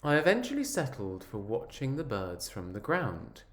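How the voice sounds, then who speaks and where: A man voicing an earnest, wistful egg who tells his own story in a measured, reflective picture-book narration. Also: medium pitch, wildly swooping pitch, fairly bright tone.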